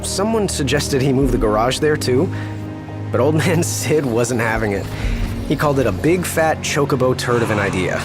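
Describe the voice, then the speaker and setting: A man's voice: medium pitch, animated, moderate pace, lightly acted. An actor playing an earnest young man, chatty and deferential toward his king, in a video game cutscene. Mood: fond, explanatory. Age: young adult. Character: earnest, respectful young driver loyal to a returning king. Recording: music under studio dialogue. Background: game music.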